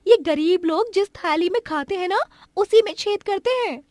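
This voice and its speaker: A woman's voice: very high-pitched, moderate pace, a little mellow, no echo, pitched above the performer's own voice. A woman voicing a snobbish, envious, scheming female houseguest in a cartoon story, with exaggerated gushing and sneering delivery. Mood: scornful. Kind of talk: Hindi cartoon moral story acting.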